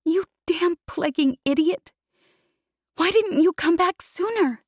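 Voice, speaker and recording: Woman reads as tearful young woman pilot, high, sobbing, breathy, storybook reading. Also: lively intonation, a little slow, a little mellow, no room sound, clean studio sound. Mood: furious, sobbing. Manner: angry, sobbing, swearing. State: crying.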